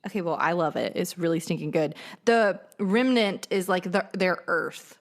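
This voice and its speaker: A woman's voice: lowish voice, moderate pace. Podcast co-host: young American woman, enthusiastic, informal, slang-filled conversational delivery. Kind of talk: casual chat about movies and pop culture.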